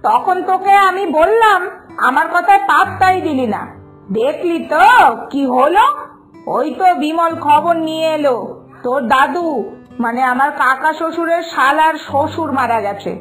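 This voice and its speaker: A woman's voice: high-pitched, normal speed, fairly bright tone, low-quality recording. A woman voicing a superstitious, stubborn village mother in a cartoon moral tale, scolding and insistent. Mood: agitated, triumphant. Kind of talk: Bengali moral-story cartoon dialogue. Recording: music behind the voice.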